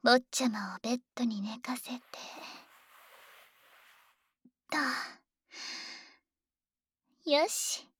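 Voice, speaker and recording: A woman voicing a devoted, formal female dragon maid who dotes on her young master, in a soft, often whispered sleep roleplay. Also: fairly high pitch, brisk, slightly rough, dry recording, studio quality. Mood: doting. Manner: formal, doting and gently teasing.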